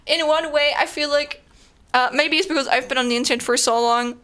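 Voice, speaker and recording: A woman's voice: high voice, a little fast, a bit bright. A young German woman, a native German speaker with a German accent, chatting casually with her stream viewers in a rambling way full of fillers. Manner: rambling, filler-heavy, frequent laughter.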